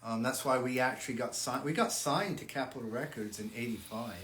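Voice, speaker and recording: A man's voice: medium pitch, fairly bright tone. An older man, a musician hosting a fan livestream, chatting casually and often stopping to restart his sentences. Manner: halting, frequent restarts and repeated words. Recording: echoey room, faint ambient noise.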